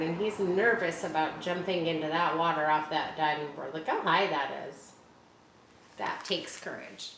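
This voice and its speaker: Woman reading a picture book aloud, slow, in a roomy space. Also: on the low side. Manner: animated, talks directly to young listeners. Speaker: children's book reader. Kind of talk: read-aloud storytime with chatty asides to kids. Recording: roomy echo, faint ambient hiss.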